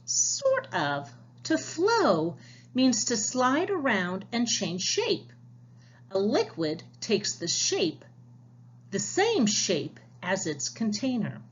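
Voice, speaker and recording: A woman's voice: on the high side, wildly swooping pitch, slow pace, breathy edge, highs cut off, heavily acted. A woman voicing a patient female teacher at a storybook magic school, explaining and questioning.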